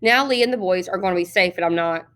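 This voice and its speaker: A woman's voice: on the low side, fast talker, a bit bright, lightly acted. A woman voicing a frightened, resentful teenage girl with a tense, grumbling manner in a storybook reading. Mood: anxious, resentful.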